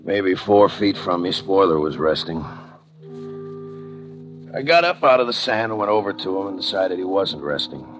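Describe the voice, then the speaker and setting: An actor playing a tough, sardonic homicide lieutenant in a 1950s radio crime drama, clipped and deadpan. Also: male voice, low voice, moderate pace, over music. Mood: grim narration. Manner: clipped, hard-boiled, deadpan sarcasm. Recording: dull, muffled 1950 radio recording.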